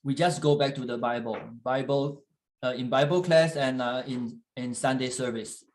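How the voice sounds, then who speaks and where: A man preaching in English, which is not his first language, pausing often with fillers and restarts while an interpreter translates. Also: mid-range voice, steady tone, darkish tone, poor audio. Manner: halting, with frequent fillers and restarts. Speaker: guest church leader. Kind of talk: sermon in English with live interpretation.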